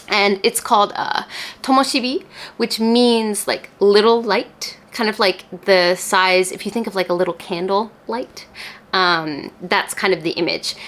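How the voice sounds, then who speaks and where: Woman doing a casual book vlog, mid-pitched voice, really into it, emphatic and informal. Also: fairly bright tone. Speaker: book review YouTuber. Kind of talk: casual chat to camera.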